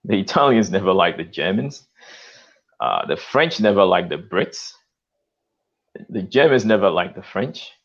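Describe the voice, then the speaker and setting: A man's voice: low voice, lively intonation, unhurried, long pauses, a bit bright, lo-fi sound. A man hosting his own channel, talking in an emphatic, lecturing way, often tagging lines with "okay" and "you know.". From lives in Australia. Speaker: channel host. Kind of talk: live-stream call discussion.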